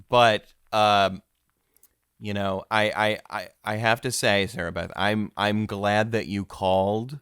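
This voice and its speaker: A man's voice: fairly low pitch, fairly bright tone, clear, resonant tone, no echo, studio quality. A man hosting a mock-serious comedy interview, hesitant and stammering, sounding awkwardly earnest. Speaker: podcast host.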